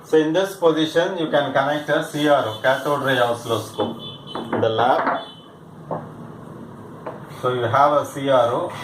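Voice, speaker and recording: A man teaching an explanatory demonstration lesson as a physics teacher, halting and pausing, often repeating his phrases. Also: mid-range voice. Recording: echoey room with faint background noise.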